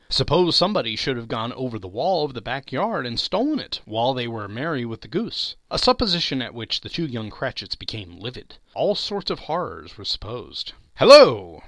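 A man gives a dramatic audiobook reading in a medium-pitched, forceful voice. His delivery is theatrical and very animated, leaning hard on key phrases. The sound is dry, with no room around it. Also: no room sound. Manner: theatrical, emphatic, expressive. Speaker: podcast host and narrator. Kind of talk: dramatic literary audiobook narration.

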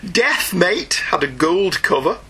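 A British man in middle age with a high, very bright voice, hosting a comics podcast. He talks in a wry, jokey way, with big swings of pitch. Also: sing-song, takes it slowly, breathy edge. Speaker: podcast host. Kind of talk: casual comic book podcast chat.

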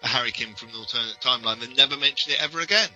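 Man, podcast co-host, medium pitch, strikingly bright tone, halting explanatory delivery, opinionated, music behind. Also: low-quality recording. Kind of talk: casual but detailed explanatory discussion.

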